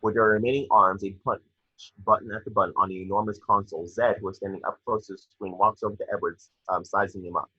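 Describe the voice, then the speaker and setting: A man's voice: fairly low pitch, steady tone, fast, bright, forceful tone, husky. A man narrating scripted action descriptions at an amateur table read, on a slightly dull home recording. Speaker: reader of stage directions.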